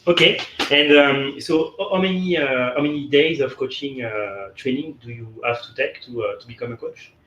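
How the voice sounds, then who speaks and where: A man interviewing a guest, asking halting questions that are full of repeated words and "uh". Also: medium pitch, a bit bright. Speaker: interviewer, host. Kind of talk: conversational interview. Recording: echoey room, dull sound, faint noise.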